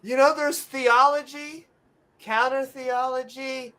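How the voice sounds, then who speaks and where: A woman's voice: high-pitched, slow pace. A woman co-hosting a podcast in casual chat, telling jokey stories and swearing freely. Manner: animated, swearing, joking storyteller. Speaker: co-host.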